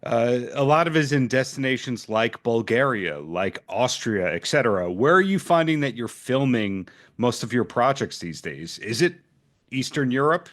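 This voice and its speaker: A man's voice: mid-range voice, lively intonation, moderate pace, a bit bright. A man hosting a podcast, interviewing an actress over a video call with friendly, curious questions. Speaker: podcast host.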